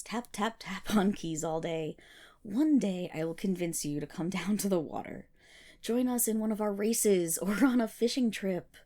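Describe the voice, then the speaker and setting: A woman playing an affectionate, teasing selkie in an ASMR roleplay, speaking softly and breathily with a lilt and fond scolding. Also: mid-range voice.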